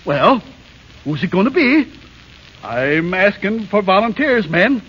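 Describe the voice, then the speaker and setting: A man's voice: high voice, big pitch swings, slow, a bit bright, slightly rough, exaggerated voice. An actor playing a flustered old dwarf leader, bossy but kindly, who stammers and muddles his words in 1930s radio style. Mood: nervous, coaxing. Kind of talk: 1930s radio drama acting. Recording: muffled scratchy 1930s radio broadcast.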